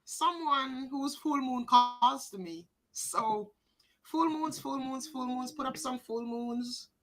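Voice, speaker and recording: A woman co-hosting a live psychic reading stream, chatting with viewers and greeting them by name, a little hoarse. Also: sits high, slow, rough recording. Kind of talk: casual live-stream chat.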